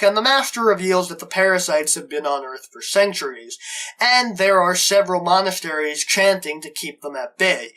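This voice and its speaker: Bright-voiced man narrating a review. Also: sits high, poor audio. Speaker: reviewer, show host. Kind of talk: scripted review narration, recapping a story.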